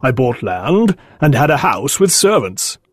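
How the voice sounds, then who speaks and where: An actor playing a big, lovelorn, anguished gentleman in a dramatised story. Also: mid-range voice, big pitch swings, a little slow, slightly rough, no echo. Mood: wistful, regretful.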